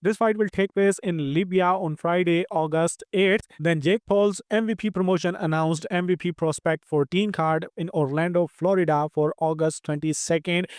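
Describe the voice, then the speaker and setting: Indian guy reading fight news, highish clean voice, dry close sound. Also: clear, resonant tone, dry recording. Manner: quick, steady news read. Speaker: news host. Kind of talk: scripted news narration.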